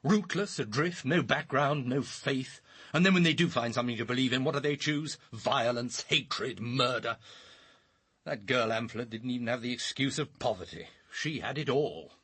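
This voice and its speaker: An actor playing a severe, opinionated, moralising official in a radio drama. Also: male voice, mid-range voice, normal speed, a bit bright, husky. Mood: scornful.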